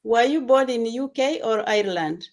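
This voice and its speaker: A woman's voice: fairly high pitch, animated, normal speed, vocal fry. A woman hosting a casual online discussion show.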